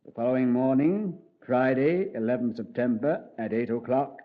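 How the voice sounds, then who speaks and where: A man playing a calm Yard detective. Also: mid-range voice, natural voice. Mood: grave. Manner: measured, polite, dryly ironic. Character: composed male Scotland Yard detective narrating. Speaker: detective and narrator. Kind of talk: 1950s radio drama. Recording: dull old radio transcription.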